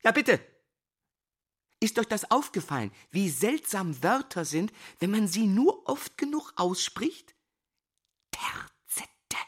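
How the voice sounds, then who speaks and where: An actress playing a musing teacher lecturing on poetry in a radio drama, incantatory and repeating syllables. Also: female voice, medium pitch, big pitch swings, slow pace, long pauses, breathy edge, full character voice. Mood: absorbed, incantatory. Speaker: actor.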